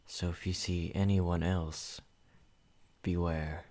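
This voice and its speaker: Man as a calm, possessive vampire for an ASMR roleplay: low, flat, unhurried delivery. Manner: slow, low and measured, addressing the listener.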